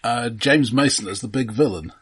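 A man's voice: medium pitch, normal speed, fairly bright tone, slightly rough. A man co-hosting a podcast, talking casually about films in a conversational way. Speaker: podcast co-host. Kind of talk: casual film discussion.

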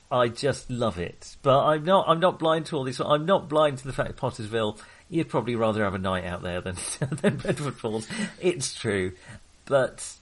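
Man, halting film-podcast chat. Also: mid-range voice, a bit bright. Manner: hesitant, self-interrupting, thinking aloud. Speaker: podcast co-host discussing films. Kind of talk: casual film discussion.

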